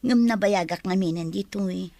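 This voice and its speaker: A woman's voice: mid-range voice, normal speed, mellow, no echo, close to an everyday voice. A woman with an Ilocano accent playing a consoling friend in a radio drama. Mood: gentle, apologetic. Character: consoling female friend, longing to go home.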